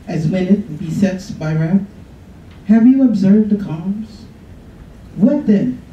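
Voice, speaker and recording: A man reading the English version of a poem aloud in a slow, soft recital at a college podium. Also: high-pitched, muffled recording, a little hiss or hum. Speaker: student reader. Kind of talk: poetry reading. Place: college lecture room. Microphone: podium mic from a far camera. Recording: echoey room, mic far off.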